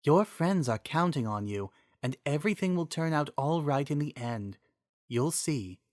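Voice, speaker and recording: A wise female spirit of a magical relic, acted by a man, speaks softly and gently. The delivery is calm, measured and reassuring, as in a fantasy storybook, with a close, dry sound. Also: on the high side, dry recording, close to an everyday voice. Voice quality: soft. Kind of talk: dramatic fantasy storybook reading.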